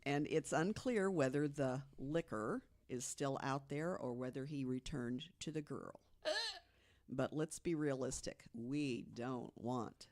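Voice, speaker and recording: A woman on a podcast, casually telling an urban legend, with lots of "you know" and hushed tones for the scary moments. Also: very deep voice, takes it slowly. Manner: chatty storyteller, fills with "you know", drops to hushed tones for the scary parts. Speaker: podcast co-host. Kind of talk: casual storytelling chat.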